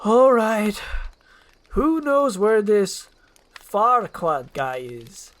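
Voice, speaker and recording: A woman's voice: medium pitch, very animated, very slow, voice pushed up. A woman voicing a grumpy, exasperated male ogre in a dramatized screenplay reading, with a blustering, exclamatory manner. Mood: resigned, annoyed.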